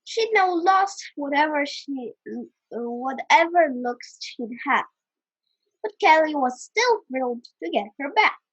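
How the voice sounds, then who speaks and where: A young primary-school girl reading a story aloud as narration, carefully and haltingly, stumbling and repeating words. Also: high voice, animated, no echo, rough recording. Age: child around eight or nine, primary pupil.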